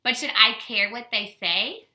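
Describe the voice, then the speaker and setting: An American woman, a teacher, giving a casual storytime lesson to young children in an animated way with simple wording and rhetorical questions. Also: fairly high pitch, measured pace. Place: indoors at home. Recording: roomy, echoey indoor home recording.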